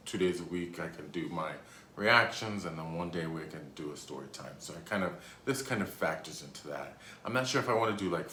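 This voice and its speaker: Man in his thirties, very deep voice, casual storytime, lots of ums, roomy echo. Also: fairly bright tone. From Rhode Island, USA. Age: 30s. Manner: rambling, hesitant, many ums and restarts. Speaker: YouTuber. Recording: echoey room, faint background noise.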